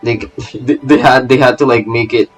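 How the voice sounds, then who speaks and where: A man's voice: mid-range voice, fairly even intonation, normal speed, faint music behind. A man doing casual live game commentary, rambling and stammering as he repeats his phrases. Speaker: VTuber game streamer.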